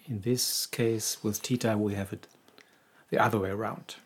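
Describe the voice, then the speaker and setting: Man explaining tango history, halting restarts. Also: on the low side, steady tone, husky. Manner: knowledgeable, with frequent restarts and "the, the". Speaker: podcast co-host. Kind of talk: casual conversational explanation.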